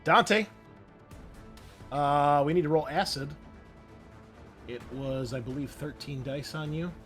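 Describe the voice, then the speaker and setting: A man's voice: a little high, slow, fairly bright tone. Male game master with music underneath. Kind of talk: running a tabletop roleplaying game, narrating action and rulings. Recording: music behind the voice.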